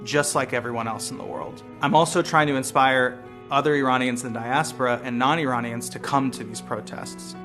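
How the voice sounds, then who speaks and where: A man's voice: medium pitch, steady tone, brisk, few pauses, bright, forceful tone. Earnest American man over a music bed. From United States. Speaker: lawyer and street photographer. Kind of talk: documentary interview narration. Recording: music bed playing behind voice.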